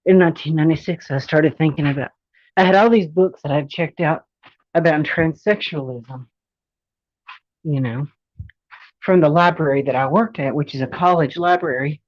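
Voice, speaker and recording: A woman's voice: low voice, moderate pace, long pauses, a little mellow, no echo, highs cut off. A woman in her forties giving a casual, rambling personal monologue, often circling back to repeat points. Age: 40s. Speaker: vlogger sharing personal experience.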